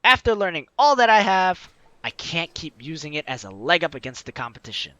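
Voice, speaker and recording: A man's voice: fairly high pitch, big pitch swings, fairly quick, bright, forceful tone, dry recording, lo-fi sound. A man hosting a let's play, expressively reading a story game's text aloud and reacting with enthusiasm. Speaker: gaming video host.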